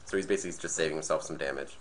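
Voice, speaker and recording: A man with a slightly raspy, nasal voice from being sick, casually commentating a card game match and thinking aloud as he plays. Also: very deep voice, rapid-fire, fairly bright tone, slight background noise. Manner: thinking aloud, hesitant restarts. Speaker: gameplay commentator.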